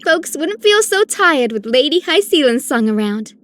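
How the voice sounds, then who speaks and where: Caring girl healer for a game: sweet, very high-pitched. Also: very animated, moderate pace, a bit bright. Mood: cheerful, caring. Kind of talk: scripted game character lines.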